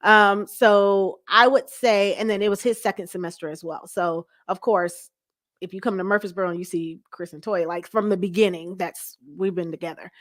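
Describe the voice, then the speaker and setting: A woman's voice: mid-range voice, steady tone, moderate pace, no room sound. Brief: American woman, forties, candid conversational guest, tells stories with quoted self-talk, dry sound. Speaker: guest, pastor's wife. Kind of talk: casual interview chat.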